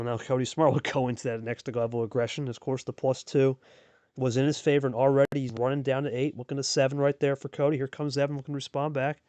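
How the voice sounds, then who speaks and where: A man's voice: mid-range voice, a little mellow, no echo. A man hosting and calling a card game match in a casual, chatty play-by-play style, repeating phrases for emphasis. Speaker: host and play-by-play commentator.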